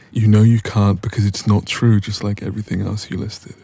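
Man acting as low, husky, cocky ex-boyfriend. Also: little pitch movement, normal speed, mellow, no room sound, faint music behind. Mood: smug, irritated. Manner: smug, teasing, casual. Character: dominant, cocky, irritated young ex-boyfriend in an intimate argument. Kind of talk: intimate ASMR audio roleplay. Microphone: close microphone.

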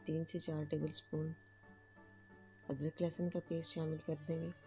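A woman hosting a home cooking channel, giving calm, instructive step-by-step recipe narration. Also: deep voice, normal speed, faint music behind. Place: home kitchen.

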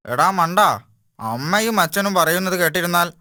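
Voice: medium pitch, sharp tone, dry recording, studio quality. A performer voicing a mischievous, carefree young animal in a children's cartoon, who teases a friend. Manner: teasing, mocking.

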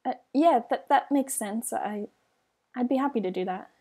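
A woman's voice: a little high, moderate pace, warm tone, lightly acted. A woman playing a shy, nervous young fire mage in a game roleplay, hesitant, stammering and polite. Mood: grateful, nervous. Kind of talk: amateur roleplay acting in a game video.

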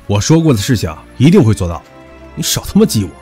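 An actor playing a hot-tempered, possessive young rich heir in an audio drama, brash and taunting. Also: male voice, fairly low pitch, sing-song, quick pace, mellow, faint music behind. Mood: defiant, irritated. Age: young adult. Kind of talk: Chinese audio drama acting.

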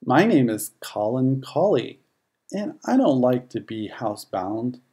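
Narrator as a mellow, free-spirited collie. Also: medium pitch, big pitch swings, a little slow. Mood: earnest. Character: free-spirited collie dog who loves outdoors.

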